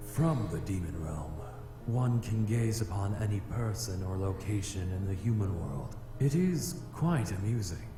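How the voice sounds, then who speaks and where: A very low, solemn demon of fire, acted out by a man for a visual novel. He is aloof and haughty, with stiff formal diction. His voice rings in long reverb over clear background music. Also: expressive, unhurried. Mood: aloof, explanatory. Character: solemn male fire demon, vengeful, aloof, easily flustered. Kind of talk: visual novel character acting. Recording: echoey reverb with music behind.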